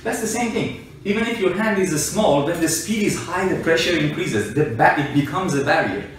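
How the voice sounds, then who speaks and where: A man with a slightly high voice gives an informal, argumentative explanation. He sits far back from the mic in a very reverberant room, talking through simple everyday examples. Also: expressive, normal speed. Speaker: explainer, self-described engineer and scientist. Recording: echoey room, distant mic, faint noise.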